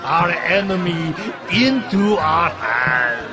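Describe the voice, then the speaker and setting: An actor playing a gloating, jeering Philistine lord, proclaiming in drawn-out shouts in a scripture audio drama, over music and crowd. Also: male voice, on the high side, lively intonation. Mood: gloating revelry.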